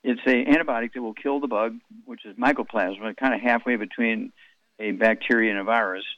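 A man hosting a radio health call-in show, telling rambling stories and firing brisk questions at callers. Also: mid-range voice, fairly even intonation, dry recording, dull audio.

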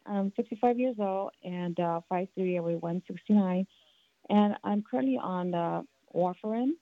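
A woman's voice: medium pitch, moderate pace, no room sound. A woman in her sixties calling in to a radio show, answering questions politely and chuckling between answers. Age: 60s. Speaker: caller. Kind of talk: call-in question on air. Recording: tinny phone line.

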